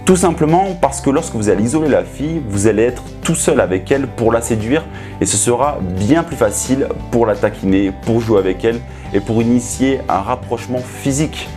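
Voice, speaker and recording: A man's voice: medium pitch, animated, a little fast. Man, coach, didactic delivery, direct address, mid-range voice, faint background music. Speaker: seduction coach hosting a video. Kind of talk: instructional talk to camera.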